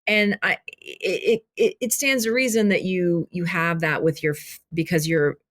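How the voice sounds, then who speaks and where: A woman's voice: lowish voice, fairly even intonation, fairly bright tone. A woman whose first language is Arabic, a filmmaker and author speaking as a podcast guest, talking reflectively with many restarts. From grew up in Kentucky, USA. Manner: reflective, halting, many restarts and "you know". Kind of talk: casual interview conversation.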